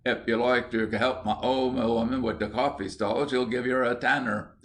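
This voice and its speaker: A man's voice: medium pitch, fairly even intonation, moderate pace, fairly bright tone, lightly acted. A man voicing a bossy, cheerful Cockney boy who leads a street gang, in a storybook reading. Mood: breezy, bossy.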